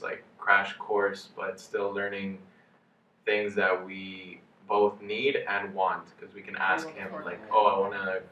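Low, flat-voiced young man, roomy recording. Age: 20s. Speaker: study-abroad student. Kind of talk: casual interview. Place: living room, indoors. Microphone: camera a few feet away. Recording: roomy, distant mic, noisy background.

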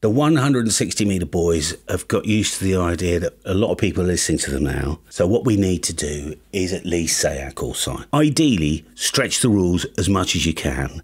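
A middle-aged British man presents a tongue-in-cheek how-to from a small home studio. His voice is fairly low but swings widely in pitch, delivering mock-serious advice with animation. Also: expressive, moderate pace, hardly any pauses, breathy edge. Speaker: video host. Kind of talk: tongue-in-cheek instructional talk to camera.

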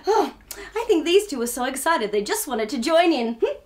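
A woman's voice: high-pitched, very animated, moderate pace. A woman in her thirties hosting storytime for young children, talking in a playful, exaggerated sing-song way. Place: small furnished room, indoors.

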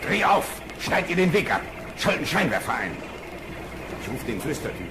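An actor playing a brisk, watchful harbour police officer in a radio play, with a curt, commanding manner. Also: male voice, fairly high pitch, big pitch swings, echoey room, background noise.

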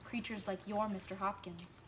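A woman's voice: mid-range voice, fairly even intonation, normal speed, slight background noise, close to an everyday voice, low-quality recording. A woman playing a proud, superstitious village washerwoman in a radio drama, folksy, emphatic and scolding. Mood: fearful, grave.

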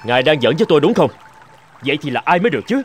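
A man voicing a naive, excitable young scholar in a cartoon moral tale. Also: a little high, very fast, a little hiss or hum. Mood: indignant. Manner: excitable, protesting. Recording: music behind the voice.

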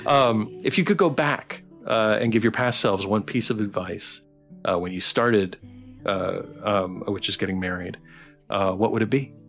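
A man's voice: lowish voice, normal speed. A man hosting a casual livestream interview, speaking hesitantly and with effusive appreciation. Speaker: livestream host interviewing game developers. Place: online voice call on a livestream. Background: guitar music playing softly.

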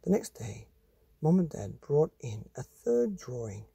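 A man's voice: a little high, very animated, unhurried, vocal fry, dark tone, slightly rough, dry recording. A man reading a children's bedtime story expressively as a storyteller.

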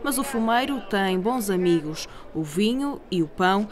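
A woman's voice: medium pitch, animated, moderate pace, a crowd in the background. A woman with a European Portuguese accent reading scripted news narration as a TV reporter.

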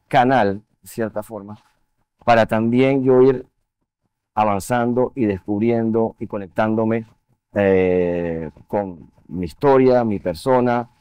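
A man, a guest in a studio TV interview, speaking in a measured, reflective way with frequent fillers. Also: fairly low pitch, dark tone, clean tone, no echo. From Venezuela. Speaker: interview guest, finance executive. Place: TV studio set. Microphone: lapel mic.

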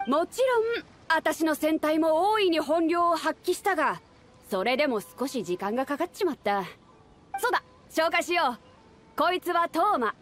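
An actress voicing a bold, hearty, protective woman ship captain in an anime game, with rough, commanding, masculine speech. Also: high-pitched, lively intonation, moderate pace, fairly bright tone, soft background music. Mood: hearty, brisk. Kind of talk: anime video game voice acting.

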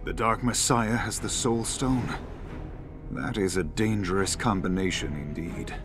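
An actor voicing a commanding sorcerer hero in a game, formal, grave and declamatory. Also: male voice, low-pitched, a little slow. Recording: clear music behind the voice.

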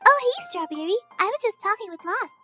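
A woman voicing a squeaky, girlish female pony in an amateur cartoon drama. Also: expressive, brisk, bright, forceful tone, lo-fi sound, faint music behind, full character voice. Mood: friendly. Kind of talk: amateur animated audio drama.

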